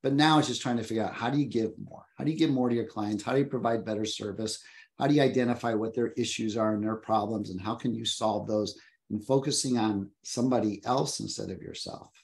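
A man's voice: fairly low pitch, fast talker, creaky, darkish tone. Man, fifties, earnest and reflective, repeats words, webcam sound, office. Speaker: luxury real estate agent, panelist. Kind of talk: panel discussion on a video call. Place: home office. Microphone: video call webcam.

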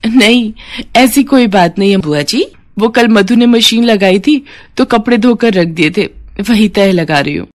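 A woman's voice: mid-range voice, animated, measured pace, pitched above the performer's own voice, natural voice. A woman voicing a young daughter-in-law in a cartoon story, polite but easily offended. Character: touchy young daughter-in-law, eldest of three.

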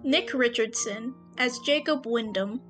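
Young adult female co-host, slightly high voice, playful mock drama, close dry sound with soft music underneath. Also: a little slow. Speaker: podcast co-creator and host. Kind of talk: casual banter and thank-you message.

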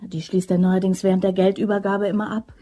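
Actress as husky, very dark-voiced female schemer. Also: mid-range voice, flat delivery, a little fast, lightly acted. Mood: cautious. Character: cool, bossy office woman masterminding a robbery. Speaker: actor. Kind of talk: German crime radio play acting.

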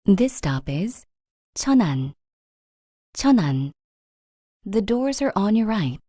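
Slow, mellow female train announcer. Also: medium pitch, sing-song, no room sound, studio quality. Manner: measured, formal, carefully enunciated. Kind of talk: scripted transit announcement.

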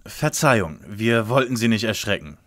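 A man's voice: fairly low pitch, animated, normal speed, noisy background, close to an everyday voice. A man playing an earnest, methodical young detective leader with formal, precise speech in a radio play parody. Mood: polite, businesslike.

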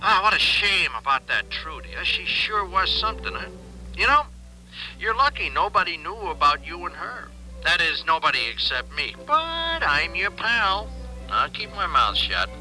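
An actor in a 1970s radio drama playing a smarmy, falsely chummy blackmailer with an insinuating manner. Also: male voice, sits high, expressive, moderate pace, sharp tone, faint music behind, exaggerated voice, rough recording. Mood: oily, chummy. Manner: insinuating, drawling asides with "huh" and "pal".

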